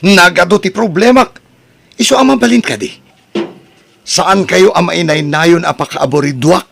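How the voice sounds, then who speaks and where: A man playing an exasperated, gravelly son. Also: sits high, normal speed, a bit bright, a little hiss or hum. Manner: exasperated, scolding. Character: irritable grown son who turns his parents away.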